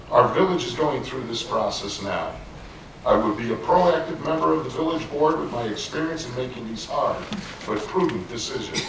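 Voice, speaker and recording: A man running for village trustee, giving a measured, businesslike prepared statement at a public forum. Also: medium pitch. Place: community meeting room with an audience. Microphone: handheld microphone. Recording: very echoey distant room sound, faint room noise.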